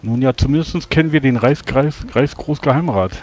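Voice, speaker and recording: A man roleplaying a courtly, self-important magister adventurer in a tabletop game, speaking formally and wordily with halting pauses. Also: mid-range voice, a little mellow, a little hiss or hum, close to an everyday voice. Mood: boastful. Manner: formal, verbose, halting delivery.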